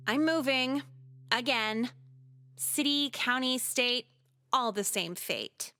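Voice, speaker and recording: A woman playing a cynical, sociopathic American teenage girl in a visual novel game, narrating in a blunt, sarcastic, foul-mouthed way. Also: sits high. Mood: resigned, bleak. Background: music.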